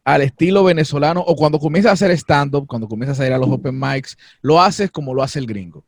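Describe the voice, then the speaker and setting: A man's voice: a little high, fast, dry recording. Playful male interviewer, dry sound. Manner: playful, probing questions. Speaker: interviewer host. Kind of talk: casual interview.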